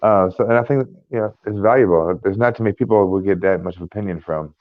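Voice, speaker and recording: An American man co-hosting a casual podcast, talking in a rambling, halting way with many fillers and restarts. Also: fairly low pitch, no room sound. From United States. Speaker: podcast co-host. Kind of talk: casual chat. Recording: tinny phone-like line.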